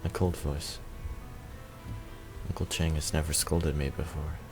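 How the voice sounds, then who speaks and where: A man reading visual novel narration aloud in a subdued, measured way with pauses. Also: a bass voice, level tone, over music.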